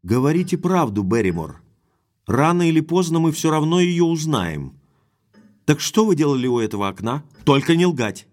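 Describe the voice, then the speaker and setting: Young gentleman baronet, very animated pitch, blunt, emphatic, impulsive, audio drama. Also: normal speed. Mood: stern. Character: hot-headed, lovestruck young baronet, direct and forceful.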